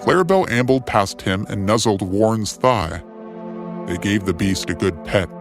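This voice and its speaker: A man narrates an adventure tale with dramatic, scripted phrasing. His voice is fairly low, and faint music plays beneath it. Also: lively intonation, moderate pace. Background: soft music.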